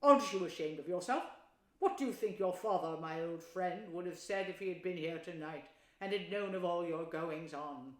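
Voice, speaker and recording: A woman's voice: fairly low pitch, moderate pace, close to an everyday voice. A woman voicing a stern, wise old male badger with a thin, dry, severe voice. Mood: scolding. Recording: roomy echo.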